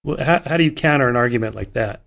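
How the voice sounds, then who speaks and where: A man's voice: medium pitch, quick pace, vocal fry, fairly bright tone. A man hosting a podcast interview, chatty and self-deprecating, laughing easily as he talks. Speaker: podcast host. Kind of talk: conversational interview.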